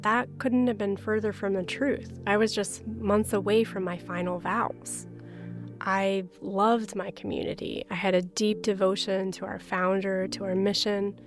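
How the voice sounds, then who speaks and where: Woman, mid pitch, personal testimony, faint music behind. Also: little pitch movement, a little slow. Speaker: religious sister.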